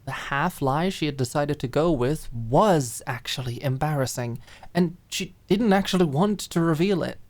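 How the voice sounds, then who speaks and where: A woman reading dramatic scripted fiction as an audiobook narrator, with expressive, emotive storytelling. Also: low-pitched, moderate pace.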